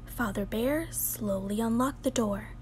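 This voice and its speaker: A woman's voice: a little high, measured pace, a little mellow. A young woman reading a children's story aloud expressively, in a home recording. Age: young adult. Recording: home recording with clear background noise.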